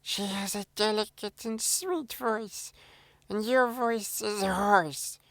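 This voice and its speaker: A man's voice: high-pitched, fairly even intonation, slow pace, fairly bright tone, dry recording, pitched above the performer's own voice, exaggerated voice. A man voicing innocent, wary young goat kids in a fairy tale, with an emphatic childlike delivery. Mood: wary, defiant.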